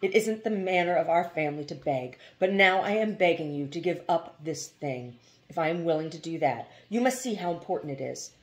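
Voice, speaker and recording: A woman's voice: sits low, expressive, a little fast. A woman voicing a pious, fearful farmer in a storybook, harsh, emphatic and pleading. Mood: grave.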